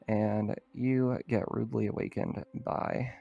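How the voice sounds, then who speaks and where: A man running an online tabletop roleplaying game as game master, informal and halting as he sets the scene. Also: on the low side, steady tone, creaky, dark tone, dull audio.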